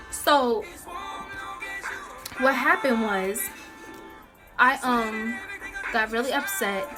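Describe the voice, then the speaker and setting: A young American woman telling a story casually to viewers, animated and slangy, acting out the dialogue as she goes. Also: a little high. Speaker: storytime vlogger.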